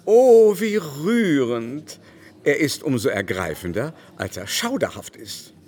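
A pompous, theatrical aristocratic baron. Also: male voice, medium pitch, very animated, slow pace, darkish tone, husky, full character voice. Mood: effusive, mocking. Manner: grandiloquent, mannered, swooping exclamations. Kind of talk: radio drama acting.

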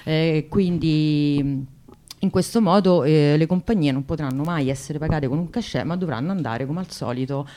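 A woman talking spontaneously on the radio about a protest, often halting and searching for words. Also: low-pitched, mellow. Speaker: activist guest, singer.

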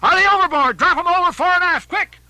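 A man's voice: fast, no echo, exaggerated voice. A man voicing an alarmed ship's mate in an audiobook, crying out urgently to the crew. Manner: high, urgent shouting. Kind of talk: audiobook character acting.

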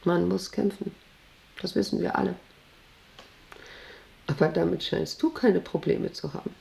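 A woman's voice: fairly low pitch, steady tone, a little slow, creaky, mellow. An actress playing a proud, middle-aged female factory director in a radio play, rambling on in a condescending, self-important way and often seeking agreement. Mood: restless, condescending. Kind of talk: radio play monologue to an employee.

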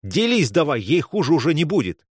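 A man's voice: mid-range voice, expressive, normal speed, a little mellow, no echo, studio quality, pitched above the performer's own voice, natural voice. Man acting an urgent, demanding young man. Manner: insistent, commanding, terse. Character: desperate, angry young man demanding help in a crisis.

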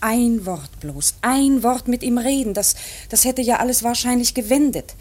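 An actress playing an earnest, troubled young woman in grief, halting and intense, in a German radio play. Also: a little high, expressive, darkish tone. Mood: anguished. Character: earnest, troubled grieving daughter and sister.

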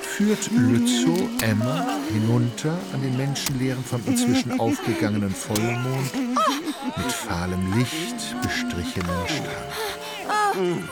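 A man narrating a literary radio drama in a dramatic, expressive manner. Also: medium pitch. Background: music bed.

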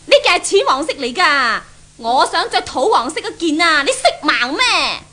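A young, spoiled heiress of 1970s radio drama, haughty and quick to scold. Her voice is very high and piercingly bright, leaping wildly as she bosses people about in Hong Kong Cantonese. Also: sing-song, heavily acted. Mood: scolding, imperious. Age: young adult. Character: spoiled, tyrannical rich young mistress with a foul temper.

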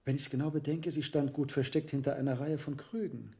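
An actor playing a learned, hesitant medieval monk herbalist in a radio drama, speaking in a deliberate, musing way. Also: male voice, mid-range voice, steady tone, dark tone, lightly acted. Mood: pensive, doubtful. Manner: deliberate, musing, with reflective pauses. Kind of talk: German radio drama acting.

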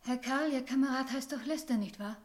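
A woman's voice: sits high, brisk, lightly acted. An actress playing a feverish, spellbound village girl in an urgent radio drama performance. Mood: insistent. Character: feverish, spellbound village girl hearing a mute man speak. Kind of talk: German radio drama acting.

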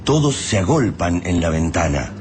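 Solemn storyteller, deep pitch, dramatic radio narration, noisy recording. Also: male voice, sing-song, moderate pace, a little mellow. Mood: ominous. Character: solemn omniscient narrator of catastrophe.

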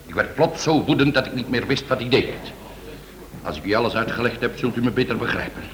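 A man's voice: medium pitch, expressive, quick pace, a bit bright, lightly acted, low-quality recording. An actor playing a hot-tempered but contrite, earnest man addressing police in a radio drama. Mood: agitated confession. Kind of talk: Dutch radio drama acting.

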